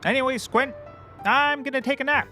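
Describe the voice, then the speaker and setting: Man playing a high-pitched, excitable hapless private eye. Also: moderate pace, soft background music, exaggerated voice. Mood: earnest. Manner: excitable, earnest, oblivious. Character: Rodney, hapless self-important childish private eye. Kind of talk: scripted comedy audio drama.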